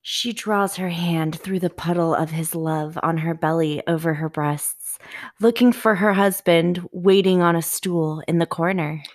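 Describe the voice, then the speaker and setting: A woman's voice: on the low side, fairly even intonation, unhurried, close to an everyday voice. A woman playing the sultry narrator of a steamy erotic audiobook. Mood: sultry, measured.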